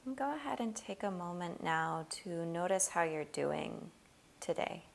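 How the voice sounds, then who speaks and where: A woman leading a guided body scan meditation, giving gentle, unhurried instructions with long pauses. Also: fairly low pitch, creaky, a little hiss or hum.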